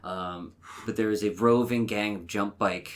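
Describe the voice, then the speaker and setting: A man co-hosting a podcast in casual banter, with a halting, mock-serious way of telling things. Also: on the low side, fairly even intonation. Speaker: podcast co-host.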